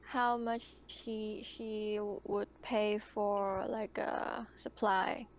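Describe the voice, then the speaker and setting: A woman chatting casually and haltingly as a player in an online tabletop game. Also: mid-range voice, level tone. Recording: noisy online voice chat.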